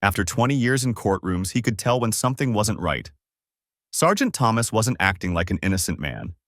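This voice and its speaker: A man's voice: fairly low pitch, sing-song, brisk, fairly bright tone, dry recording, clean studio sound. A man narrating a scripted story in a dramatic, solemn, suspenseful way.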